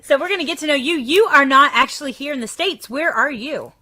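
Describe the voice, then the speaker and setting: A woman from South Carolina hosting an online radio show, chatty and excitable, laughing often as she interviews a guest. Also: high voice, brisk. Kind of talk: casual interview chat.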